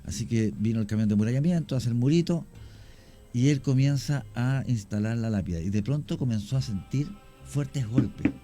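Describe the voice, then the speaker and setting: A middle-aged man with a Chilean accent, a guest on a radio show, telling stories slowly with many pauses and restarts. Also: mid-range voice, lively intonation, warm tone, soft background music. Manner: unhurried, many fillers and restarts, anecdotal. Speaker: guest storyteller. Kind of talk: radio conversation, recounting cases.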